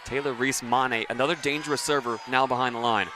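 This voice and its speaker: A man's voice: medium pitch, fairly even intonation, fairly quick. A man giving live color commentary and analysis on a radio sports broadcast. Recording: noisy gym with court sounds behind. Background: gym ambience, bouncing ball.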